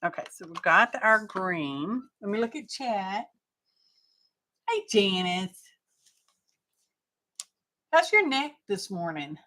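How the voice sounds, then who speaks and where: A woman's voice: mid-range voice, big pitch swings, takes it slowly, pauses often, bright, forceful tone. A middle-aged woman hosting a craft live stream, chatting casually and rambling to her viewers as she works.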